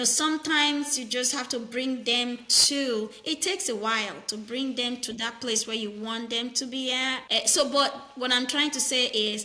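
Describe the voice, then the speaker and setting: A middle-aged woman from Nigeria, a nurse practitioner, talking in an interview in a firm, animated way, telling stories about her patients. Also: on the high side. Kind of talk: conversational interview.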